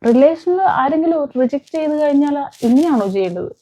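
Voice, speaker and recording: A woman's voice: high-pitched, expressive, a little fast, dark tone. A woman giving emphatic, opinionated commentary on crime as a vlog host, repeating phrases and posing rhetorical questions. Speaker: vlogger, host.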